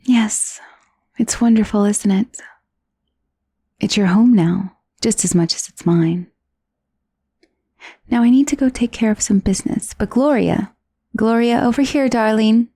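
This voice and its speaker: Casting: actress for gentle vampire lady in ASMR roleplay; creaky, soft-spoken, soothing, genteel, very close and dry sound. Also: on the high side, a little slow, pauses often, dry recording. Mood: warm, welcoming. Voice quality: soft, breathy, often dropping to a whisper. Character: gentle, coaxing female vampire mistress of a mansion.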